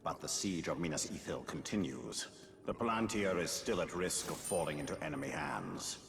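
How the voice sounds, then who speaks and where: A stern, ancient wraith lord in a fantasy game. His voice is extremely deep and gravelly, cold and imperious, with clear ambient noise around it. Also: lively intonation, moderate pace, a bit bright, exaggerated voice. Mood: cold, urgent. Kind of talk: solemn video game cutscene acting.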